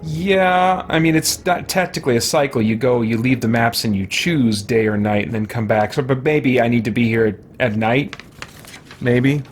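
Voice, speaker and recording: A man's voice: mid-range voice, moderate pace. A man giving casual gameplay commentary, puzzling out his options aloud as he plays, with background noise. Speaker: let's play host.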